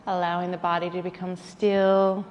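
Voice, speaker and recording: A woman leading a guided yoga nidra relaxation, speaking in a soothing, gentle way with unhurried pauses. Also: fairly low pitch, little pitch movement, highs cut off. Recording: long reverberant hall, faint background noise.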